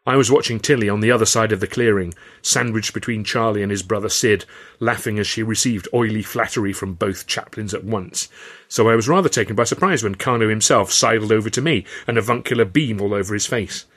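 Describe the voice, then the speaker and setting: A man's voice: lowish voice, fairly quick, a bit bright. A man with an English accent reading a scripted story aloud as an audiobook narrator.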